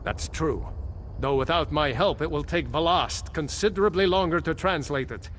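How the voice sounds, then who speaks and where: An actor playing a stern, exasperated archmage with a grave, formal manner in a fantasy video game. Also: male voice, a little high, normal speed, fairly bright tone, slight background noise, lightly acted.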